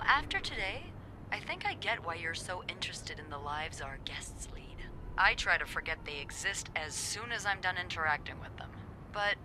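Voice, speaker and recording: Playful, animated young hotel worker played by a woman. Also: medium pitch, lightly acted. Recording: clearly noisy background ambience.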